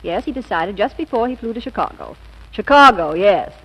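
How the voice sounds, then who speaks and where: An actress playing a sharp-witted, possessive secretary in a 1940s radio comedy, with a brisk, arch, scolding way of talking. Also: female voice, mid-range voice, animated, breathy edge. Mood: brisk, pointed. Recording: noisy, hissy old radio broadcast.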